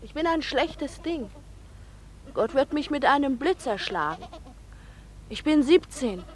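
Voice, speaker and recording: A woman's voice: high voice, lively intonation, measured pace, darkish tone, a little hiss or hum. An actress in a radio play playing a sinful, pleasure-hungry seventeen-year-old girl who confesses with great drama. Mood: defiant, yearning. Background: bleating goats.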